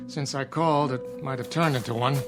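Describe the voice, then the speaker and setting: An actor in a radio drama playing a measured, concerned country doctor. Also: male voice, mid-range voice, fairly even intonation, over loud music, close to an everyday voice.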